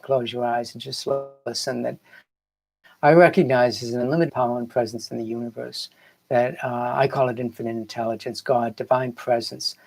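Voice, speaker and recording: A man's voice: mid-range voice, darkish tone. Earnest Boston man, rambling motivational talk. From Boston area, United States. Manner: earnest, rambling, repeats phrases for emphasis. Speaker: spiritual coach hosting a livestream. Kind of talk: casual motivational livestream talk.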